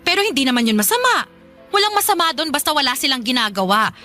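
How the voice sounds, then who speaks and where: A woman's voice: high-pitched, sing-song, quick pace, soft background music, exaggerated voice. A woman playing a defensive, argumentative middle-aged mother who scolds and dismisses, in a Filipino radio drama. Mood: dismissive scolding. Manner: argumentative, sharp questioning retorts.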